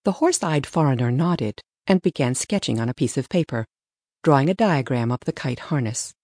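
A woman's voice: very deep voice, expressive, moderate pace, mellow, no echo, studio quality. A woman reading scripted story narration as an audiobook narrator.

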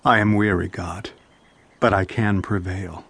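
An actor playing a solemn ancient sage, reciting scripture in a theatrical, deliberate and emphatic manner in a dramatized audio Bible. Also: male voice, low voice, fairly even intonation, vocal fry, a bit bright, slightly rough, exaggerated voice. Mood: weary, questioning. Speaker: Bible narrator and reader.

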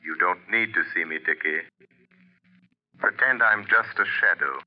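A dull vintage radio recording of a suave gentleman sleuth. His voice is low and his phrasing deliberate, dryly understated and calmly commanding, in classic radio-drama style. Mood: calm, reassuring. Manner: measured, deliberate, dry understatement. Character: suave wealthy amateur sleuth, wry, calm, commanding. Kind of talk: 1930s-40s radio drama acting.

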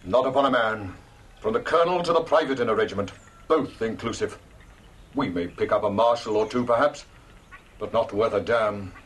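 An actor playing a blunt, confident aristocratic British army commander in a historical audio drama, speaking in a clipped, dry way. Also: male voice, lowish voice, normal speed, fairly bright tone, slight background noise, close to an everyday voice. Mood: dryly confident.

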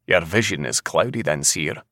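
A man playing a proud, defiant clansman, gruff and emphatic, in a dramatic audiobook. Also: deep voice, expressive, normal speed, fairly bright tone, no echo, clean studio sound. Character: proud, defiant, brooding male Scottish clansman.

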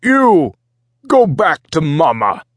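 A man voicing a gruff, bullying minotaur ship captain in an audiobook, barking orders in broken speech. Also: medium pitch, lively intonation, slow, a bit bright, no room sound, clean studio sound, pitched above the performer's own voice. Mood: angry, barking. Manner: barked, commanding broken speech. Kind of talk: audiobook character voice.

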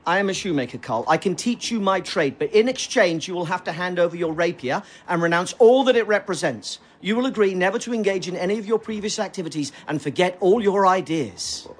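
High-pitched, solemn Quaker patriarch. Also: male voice, expressive. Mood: firm. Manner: solemn, measured, preaching. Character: grave, principled Quaker shoemaker, family patriarch. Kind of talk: audio drama stage acting.

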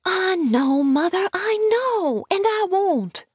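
A woman's voice: very high voice, animated, slow pace, dry recording, voice pushed up, full character voice. A woman voicing an eager, wilful ten-year-old storybook girl with a small treble voice. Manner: eager, insistent.

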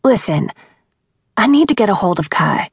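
An anxious young woman acted by a female narrator in an audiobook story, earnest and persistent. Her voice is husky and creaky, pleading urgently, recorded dry. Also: medium pitch, sing-song, normal speed, no echo, full character voice.

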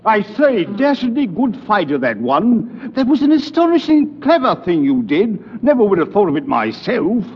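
A pompous blustering prince. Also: male voice, high-pitched, big pitch swings, normal speed, hardly any pauses, slightly rough, heavily acted. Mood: hearty. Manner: pompous, blustery, old-fashioned upper-class phrasing. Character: boastful bumbling adult male prince. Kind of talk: storybook record acting. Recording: dull lo-fi old record.

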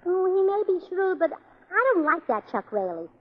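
An actress playing a flustered, scatterbrained wife in a 1940s radio play, stammering and fluttery. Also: female voice, very high-pitched, very animated, normal speed. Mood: sweet. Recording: dull 1940s radio broadcast.

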